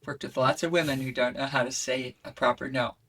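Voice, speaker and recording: A woman voice coach explaining her ideas in an animated, conversational way and breaking into demonstration sounds. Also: a bass voice, fairly quick, slightly rough. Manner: expressive, demonstrates voices with yawns, howls and shouts. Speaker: voice coach guest.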